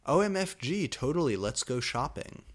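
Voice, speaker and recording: A man voicing a cheerful, morbid teenage goth girl in a story reading, chirpy and energetic. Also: a little high, normal speed, voice pushed up. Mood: gleeful.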